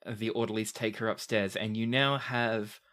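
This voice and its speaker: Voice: medium pitch, steady tone, moderate pace, a bit bright. A game master narrating an improvised tabletop role-playing game.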